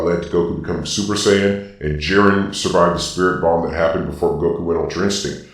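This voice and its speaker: Male commentator, very low, robot-filtered, distant and echo-heavy; emphatic, opinionated explainer. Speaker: video host. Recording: very echoey, distant sound.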